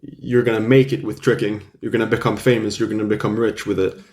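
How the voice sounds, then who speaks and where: A man's voice: fairly low pitch, level tone, quick pace, a little mellow, reverberant. A young man born in Finland, an athlete talking earnestly about his path as a guest in an interview. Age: young adult. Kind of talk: casual interview.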